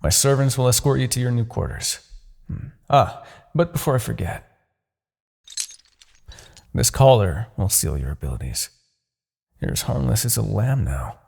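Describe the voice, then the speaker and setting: A man playing a smug, commanding Demon King in a fantasy ASMR roleplay, taunting and possessive, with theatrical, deliberate phrasing. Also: fairly low pitch, gravelly, a little mellow, slightly rough, full character voice. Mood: smug, confident.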